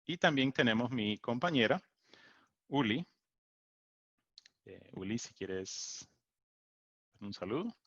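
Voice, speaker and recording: A man's voice: medium pitch, animated, takes it slowly, long pauses, a bit bright, no echo. A man who is a native English speaker, giving an online technical talk in Spanish with hesitant fillers and restarts, heard over a computer mic on a video call. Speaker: technical support engineer presenting a webinar. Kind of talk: online tech presentation. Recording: dull lo-fi video call audio.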